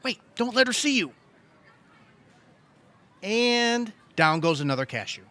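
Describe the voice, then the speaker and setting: An actor playing an obsessive, aggrieved younger brother in a comedic audio play, exasperated and insistent. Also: male voice, high voice, big pitch swings, a little slow, pushed and bright, full character voice. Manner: exasperated, insistent, leading questions like a cross-examination. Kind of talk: comedic audio theater dialogue.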